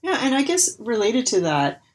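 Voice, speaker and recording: A woman hosting a book interview podcast, reading a scripted introduction, then asking measured questions with some hesitation. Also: a little high, very animated. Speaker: podcast host.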